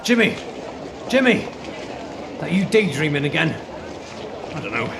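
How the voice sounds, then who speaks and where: A man as a bossy pie shop boss, high-voiced. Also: very animated. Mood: impatient. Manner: brisk, commanding. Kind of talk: amateur radio play acting. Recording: noisy background rumble.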